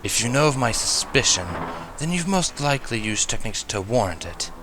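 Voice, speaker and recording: A man's voice: mid-range voice, big pitch swings, fairly quick, background noise, close to an everyday voice. A man playing a paranoid, battle-scarred wanderer, speaking in a blunt, defensive, intense way. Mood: suspicious.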